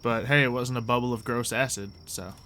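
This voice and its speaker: A man's voice: medium pitch, fairly even intonation, brisk, sharp tone. A man acting as game master, narrating a tabletop roleplay session in a casual, jokey, informal way.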